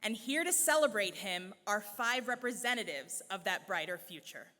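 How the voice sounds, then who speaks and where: Bright-voiced woman presenting at a ceremony. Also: medium pitch, expressive. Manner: measured, ceremonial delivery. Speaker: event presenter. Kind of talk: formal introductory speech. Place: large church hall. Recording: long reverb of a church hall.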